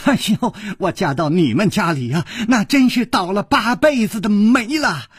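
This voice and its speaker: A shrewish young wife acted out by a male storyteller, pitched high and swinging wildly. She scolds and whines in a husky, close, dry voice, bossy to the bone, as in a dramatic audiobook. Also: sing-song, moderate pace, no echo, heavily acted. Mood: wailing. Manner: shrieking, scolding, whining.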